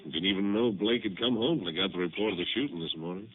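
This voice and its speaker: A man's voice: deep voice, fast talker, husky. An actor playing an easygoing, folksy male country sheriff in a 1950s radio drama. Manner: easygoing, folksy drawl of words. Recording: tinny lo-fi old radio broadcast with faint hiss.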